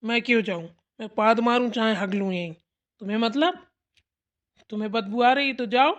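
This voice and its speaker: A woman's voice: on the high side, moderate pace, lightly acted. A woman voicing a nagging, grumpy young husband in a family cartoon, bickering in a casual, curt way. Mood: defiant. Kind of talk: amateur Hindi family cartoon dialogue.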